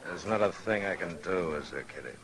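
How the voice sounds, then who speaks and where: An actor playing a stern, weary frontier marshal in a radio western, grave and plain-spoken in a measured way. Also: male voice, low-pitched, a crowd in the background, lightly acted. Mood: grave, resigned. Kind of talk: old-time radio western acting.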